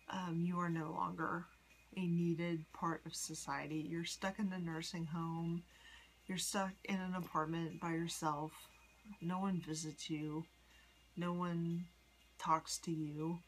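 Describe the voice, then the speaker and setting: A woman in her forties from the United States, rambling in a personal vlog with many ums and soft whispery asides. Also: on the low side, a little hiss or hum, low-quality recording. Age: 40s. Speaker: vlogger. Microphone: phone.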